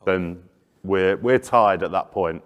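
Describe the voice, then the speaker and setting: A man presenting at a conference, answering questions in a measured, hesitant way. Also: low-pitched. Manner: hesitant, restarts phrases, measured. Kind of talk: conference session Q&A. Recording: long reverb of a conference hall.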